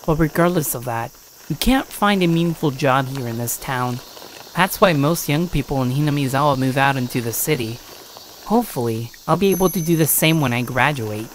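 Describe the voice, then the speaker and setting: Low-voiced woman narrating a horror story. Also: lively intonation, brisk, a little hiss or hum, rough recording.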